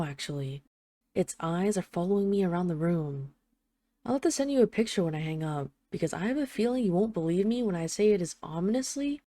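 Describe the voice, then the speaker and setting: A woman's voice: on the low side, mellow, no room sound, natural voice. A woman playing a tough but tender, jealous mafia boss, speaking intimately to an absent partner in a scripted audio roleplay voicemail. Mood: wry, exasperated. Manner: intimate, halting, sweary, addressing an absent lover.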